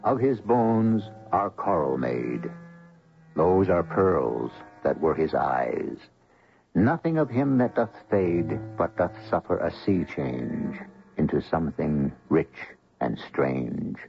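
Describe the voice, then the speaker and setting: Sardonic radio drama narrator, gravelly, theatrical delivery, dull vintage recording, music underneath. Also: male voice, very deep voice, very animated, exaggerated voice. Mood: solemn. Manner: deliberate, wry, literary. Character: sardonic, brooding male host of a mystery radio drama. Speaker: host and narrator.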